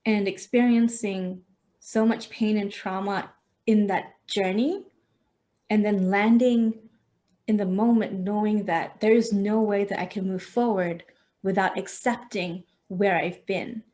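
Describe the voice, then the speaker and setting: Woman from malaysia, medium voice, thinks out loud, lots of little laughs. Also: mid-range voice. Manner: reflective, hesitant, laughs often. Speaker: podcast host. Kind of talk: personal conversation.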